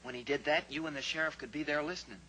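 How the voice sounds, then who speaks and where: An actor playing a scared, defensive young ranch son in a 1950s radio drama, hesitant and defensive. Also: male voice, a little high, very fast, sharp tone, a little hiss or hum. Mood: pleading.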